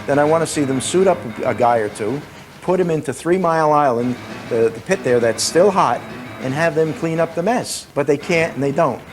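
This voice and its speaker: A man's voice: fairly high pitch, animated, moderate pace. A man giving spontaneous spoken commentary as a documentary interviewee. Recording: music behind the voice. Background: music.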